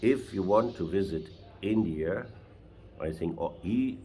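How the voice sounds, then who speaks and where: A German man talking in halting, non-native English with many restarts, interviewing a driver. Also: low-pitched, dark tone. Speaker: traveler and interviewer. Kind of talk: casual interview.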